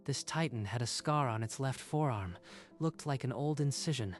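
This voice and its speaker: A man's voice: mid-range voice, normal speed, faint music behind, close to an everyday voice. A man playing an earnest, secretive young military scout with a confiding manner in a dubbed manga drama. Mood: conspiratorial.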